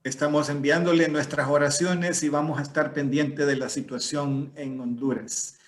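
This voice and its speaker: A man's voice: a little high, steady tone, a little fast. A man moderating an online event as a bilingual host and interpreter.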